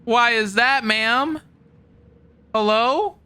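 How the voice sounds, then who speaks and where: A man reacting to a game story, loud and excited with joking asides, a bit far from the mic. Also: very high-pitched, drawn-out words, a little hiss or hum. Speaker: reaction streamer. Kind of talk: loud live reaction commentary.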